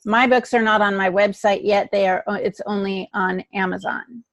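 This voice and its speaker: A woman's voice: medium pitch, steady tone, normal speed. A woman chatting casually as a co-host on a podcast.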